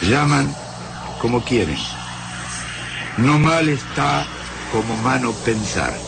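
An actor in a radio drama playing a cold, methodical alien invader who speaks in halting, oddly inverted phrases. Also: male voice, mid-range voice, animated, vocal fry, noisy background. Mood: coldly persuasive. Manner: halting phrases in odd inverted word order. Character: alien invader, cold, methodical captor with strange broken syntax. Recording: music bed behind the voice.

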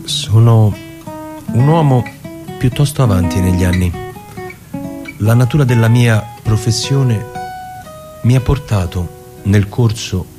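A man playing a prudent, unambitious elderly lawyer in a formal, deliberate literary monologue with pauses. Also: lowish voice. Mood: measured, grave. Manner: measured, deliberate, pausing, formal. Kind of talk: literary dramatic monologue reading over music. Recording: radio reading with loud guitar music. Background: plucked guitar music.

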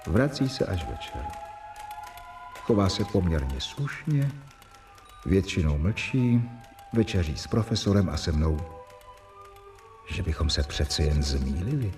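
A stern and volatile doctor, voiced by a male actor tells the story of a radio play. His delivery is dramatic and very animated in pitch, with faint music underneath. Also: natural voice. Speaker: narrator and character. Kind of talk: radio drama narration and acting.

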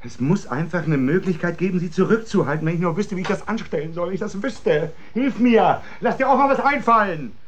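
A scheming husband in a naturalistic radio drama. His voice sits fairly high for a man and swings widely in pitch. He is irritable and insistent, always pressing. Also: animated, a little fast, few pauses, slight background noise. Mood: frustrated, tense. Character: agitated scheming husband plotting against his wife.

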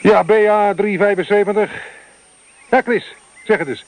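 High-voiced, brisk searcher. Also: male voice. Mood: businesslike. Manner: colloquial, brisk, practical. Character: slangy, businesslike man leading a radio transmitter search. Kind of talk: Dutch radio play acting. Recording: noisy old radio play recording.

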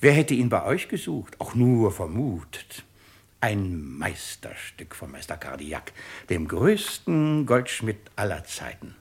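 An actor playing a shrewd, pompous, mocking presiding judge in a 1950s radio play. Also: male voice, lowish voice, sing-song, takes it slowly, slightly rough, full character voice. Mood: animated, insinuating. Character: shrewd, sardonic male presiding judge of a French tribunal.

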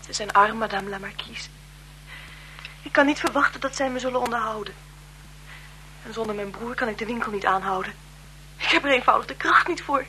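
An actress playing a frail-seeming, calculating blackmailer in a radio drama, deferential and insinuating in manner. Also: female voice, on the high side, fairly quick, long pauses, sharp tone, low-quality recording. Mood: pleading, grieving. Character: frail-seeming, calculating female blackmailer, photographer's bereaved sister. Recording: 1970s radio recording with noise.

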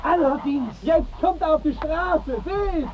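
Shrill, excited villager. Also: female voice, measured pace, crowd noise. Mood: excited, mocking. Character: excited, shrill villager watching someone flee. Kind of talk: 1950s German radio stage-classic acting. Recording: dull, noisy 1950s radio recording.

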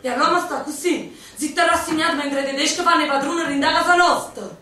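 A woman's voice: sits high, fairly even intonation, fast talker, pushed and bright. A woman with a Neapolitan accent acting a defiant young partisan in a radio stage play. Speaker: radio drama actress. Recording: roomy distant stage sound with music.

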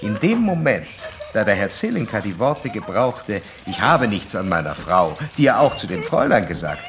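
An actor playing an insistent psychoanalyst who lectures a patient in formal, didactic, old-fashioned German radio drama style. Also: male voice, on the low side, sing-song, normal speed, fairly bright tone, slight background noise. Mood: insistent, analytical. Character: male psychoanalyst doctor, insistent, certain, lecturing his young patient.

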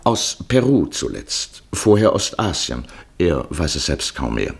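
Male narrator for literary radio drama: low, rough-edged voice, measured scripted delivery. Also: big pitch swings.

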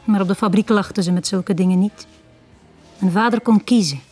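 A mellow-voiced Flemish woman, played by an actress, quietly confides her sad past in a 1980s radio drama. She is intimate and wistful, often dropping near a whisper. Faint music plays behind her. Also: medium pitch, brisk, husky, lightly acted. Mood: confiding. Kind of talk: 1980s Dutch-language radio drama acting.

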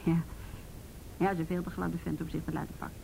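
A woman's voice: flat delivery, fairly quick, creaky, warm tone. Wry tired lady cop in a radio play, sardonic and natural, bit of noise on the tape. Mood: businesslike. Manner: dry, sardonic, mildly swearing. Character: wry, world-weary woman youth police officer. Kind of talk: naturalistic radio drama acting. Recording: noisy rumbly radio drama recording.

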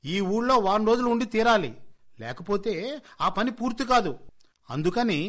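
A man's voice: high voice, sing-song, moderate pace. A man acting as a pushy, pleading relative who sponges off his family in a radio comedy play. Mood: pleading, hurried. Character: wheedling, insistent freeloading male relative. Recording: dull, lo-fi radio play recording.

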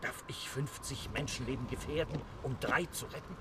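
An actor playing a stern, duty-bound army captain commanding a fort in an audio drama, firm and formal. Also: male voice, medium pitch, moderate pace, background noise, lightly acted. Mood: stern, refusing.

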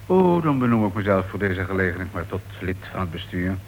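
An actor playing a cocky ex-convict old flame in a Dutch radio play, with a breezy, sardonic, taunting manner. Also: male voice, sits low, big pitch swings, a little fast, creaky, full character voice. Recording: noisy old radio recording with background hiss.

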